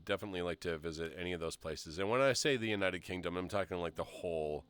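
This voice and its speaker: A man with a very low, bright and smooth voice hosts a casual live stream. He reads out questions from viewers and rambles through his answers in a relaxed, easygoing way. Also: fast. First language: English. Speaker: show host.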